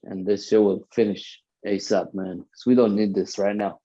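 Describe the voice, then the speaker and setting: A man from Romania talks in a low, mellow voice, earnest and rambling, tagging his points with little checks to the listener. The audio is dull and lo-fi. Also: little pitch movement, normal speed. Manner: earnest, rambling, lots of "right?" and "you know". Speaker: NFT community host. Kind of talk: casual chat.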